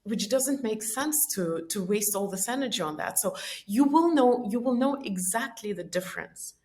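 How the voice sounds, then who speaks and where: A woman's voice: medium pitch, animated, normal speed, a little mellow. Earnest woman, native Estonian and Russian speaker. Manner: earnest, reflective, coaching tone. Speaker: podcast guest. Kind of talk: reflective conversational explanation.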